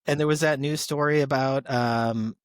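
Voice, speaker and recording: A man's voice: mid-range voice, steady tone, moderate pace, dry recording, clean studio sound. A man co-hosting a podcast, chatting casually and explaining details. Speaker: podcast co-host.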